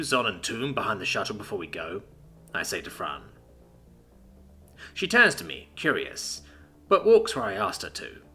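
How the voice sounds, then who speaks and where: A man reading a first-person science fiction story in an animated way and acting out the characters. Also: fairly low pitch, breathy edge. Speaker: narrator.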